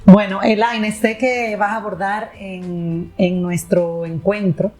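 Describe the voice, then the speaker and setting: A woman hosting a panel conversation, her voice mellow and low in brightness. She talks in loose, winding sentences and keeps restarting and correcting herself. Her pitch sits in a middle range. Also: fairly even intonation, takes it slowly, faint music behind. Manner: rambling, self-correcting, restarts with fillers. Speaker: host.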